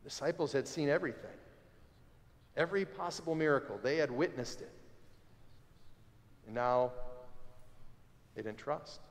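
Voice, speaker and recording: A man in his forties preaching a sermon as pastor, conversational and animated, standing by the altar of a church sanctuary. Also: a little high, moderate pace, long pauses. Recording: long church reverb.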